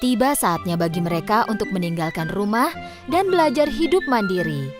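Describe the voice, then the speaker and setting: A woman's voice: mid-range voice, sing-song, normal speed. A woman narrating a scripted children's story as a storyteller. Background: music.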